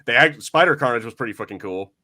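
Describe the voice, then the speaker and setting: A man chatting casually as a podcast host, with an excitable, rambling and sweary way of talking. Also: medium pitch, fast talker. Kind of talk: casual chat.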